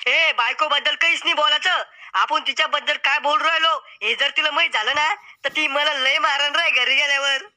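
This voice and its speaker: A man doing a squeaky sarcastic cartoon quiz host. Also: steady tone, fairly quick, sharp tone, full character voice. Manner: mock-formal announcing with sarcastic asides. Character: mock game show host, scolding and sarcastic. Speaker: game show host. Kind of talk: cartoon comedy acting.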